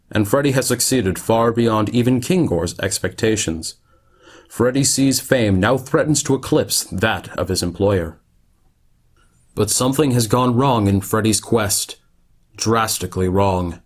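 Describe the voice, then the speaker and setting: A man narrating a futuristic radio play as a solemn, measured, dramatic storyteller. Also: on the low side.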